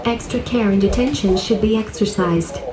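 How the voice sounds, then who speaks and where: A woman's voice: mid-range voice, normal speed, crowd noise. A synthetic woman's voice reading a formal scripted public emergency alert over a radio. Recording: echoey, noisy radio playback in room.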